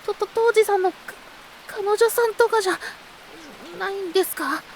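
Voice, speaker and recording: 女性が演じるとても高い声の気弱な青年. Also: female voice, very high voice, moderate pace, slight background noise. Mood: flustered. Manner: hesitant, stammering, soft-spoken. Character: timid, innocent, gentle young man. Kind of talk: Japanese audio drama acting.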